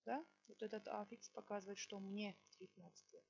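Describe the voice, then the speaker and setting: A woman teaching a language lesson, slowly dictating example sentences word by word and checking in with her students. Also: mid-range voice, fairly even intonation, creaky, dull audio, very noisy. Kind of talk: online language lesson, explaining exercises.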